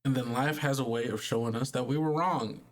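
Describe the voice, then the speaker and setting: A man voicing a gentle, persuasive woman in a tabletop game, speaking in a folksy, halting, consoling way. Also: medium pitch, lightly acted. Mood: earnest, persuasive.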